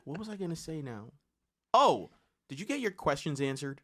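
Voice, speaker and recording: A man hosting a podcast in casual, unscripted chat, talkative and quick to exclaim. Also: on the high side, sing-song, fairly bright tone. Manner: chatty, self-described yapper, exclaims often. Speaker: podcast host.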